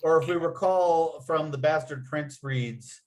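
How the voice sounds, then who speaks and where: A man's voice: on the high side, unhurried, a little mellow, rough recording. A man acting as narrator at a table read, reading out script and stage directions.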